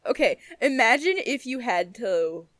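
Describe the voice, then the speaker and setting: A woman's voice: on the high side, expressive, unhurried, a bit bright, dry recording. Teasing young woman, close dry sound. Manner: teasing, giggly, emphatic. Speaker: podcast co-host. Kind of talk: casual chat.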